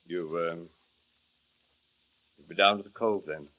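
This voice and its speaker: Reserved polite gentleman, very low voice, quiet and reluctant, muffled old radio. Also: steady tone, a little slow. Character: reluctant, kindly estate manager man, reserved. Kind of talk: 1940s radio acting. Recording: dull vintage radio recording.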